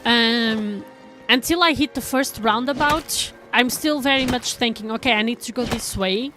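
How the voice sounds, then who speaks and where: A woman's voice: a little high, normal speed, over music. A woman from Portugal, chatting casually with her stream viewers in a rambling way, full of fillers and restarts.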